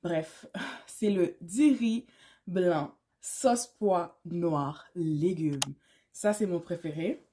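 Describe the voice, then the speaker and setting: A woman's voice: lowish voice, extremely slow, warm tone, slight background noise. Woman, Québécois French accent, thirties, chatty, casual vlog to camera, English words slipped in. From Canada. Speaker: YouTuber.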